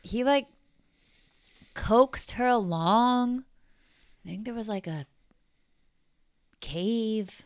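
A woman's voice: on the high side, drawn-out words, long pauses, clean tone, no room sound, studio quality. A woman co-hosting a podcast, chatting casually and playfully about comics, with whispered asides. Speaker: podcast co-host. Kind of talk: casual comic book chat.

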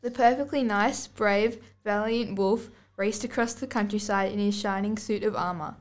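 A woman's voice: mid-range voice, steady tone, normal speed. Young schoolgirl reading a storybook aloud. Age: child, about 11 to 12. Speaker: student narrator and podcast co-host. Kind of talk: scripted storybook narration, read aloud.

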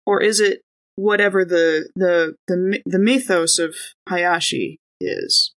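A woman's voice: lowish voice, takes it slowly. A woman chatting casually and slangily as a player in a tabletop role-playing game.

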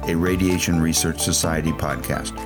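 A man reading a scripted podcast intro in a slow, solemn way. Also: rumbling low, steady tone. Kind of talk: scripted announcement. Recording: voice over loud music.

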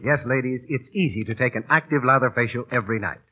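A man's voice: mid-range voice, quick pace, slightly rough. A man reading a scripted 1930s radio advertisement as the show's announcer. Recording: muffled old 1930s radio recording.

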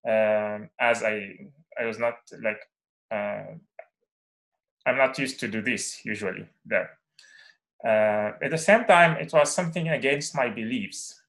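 A young man from Syria, a native Arabic speaker, talks to an audience as a guest speaker. He picks his words carefully and often pauses and starts over. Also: medium pitch, fairly bright tone, poor audio. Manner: hesitant, many fillers and restarts. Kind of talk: personal talk.

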